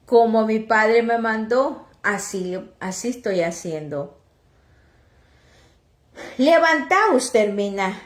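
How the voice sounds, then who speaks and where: A woman from Oaxaca whose first language is Chatino, slowly reading scripture and preaching, with halting restarts and self-corrections as she translates. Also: on the high side, animated, reverberant. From Oaxaca, Mexico. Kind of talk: slow Bible reading and sermon, translated into Chatino.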